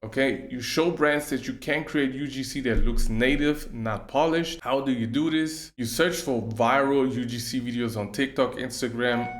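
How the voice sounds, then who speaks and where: A man's voice: medium pitch, roomy sound. A young man who is not a native English speaker gives casual, direct advice to camera, tagging "okay?" onto his points again and again. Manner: direct, repeats "okay?" often. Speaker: UGC creator. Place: room on a small island.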